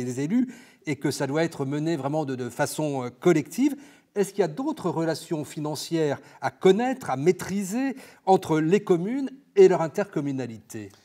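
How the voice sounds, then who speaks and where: A male interviewer with a somewhat high voice. He leads an informational exchange, building his questions haltingly and often doubling back on a word. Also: dark tone. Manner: halting, repeats words while phrasing questions. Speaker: interviewer and host of an information segment. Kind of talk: interview on local government finance.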